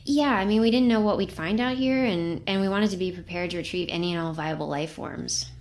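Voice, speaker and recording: A woman's voice: on the low side, a little fast, natural voice. A woman playing an earnest, plucky young science intern in an audio drama, matter-of-fact and polite. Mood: explanatory. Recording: clear background noise.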